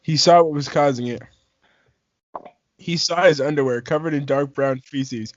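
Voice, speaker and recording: A man's voice: fairly high pitch, normal speed. A young man casually reading a story aloud to friends, stumbling over lines and repeating them. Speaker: amateur fan fiction reader. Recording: dry, muffled lo-fi voice chat.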